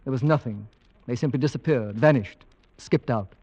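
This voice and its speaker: An actor playing a brisk, sceptical English husband in a 1950s radio drama, with a breezy, dismissive way of talking. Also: male voice, medium pitch, dark tone, husky. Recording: dull vintage 1950s radio recording.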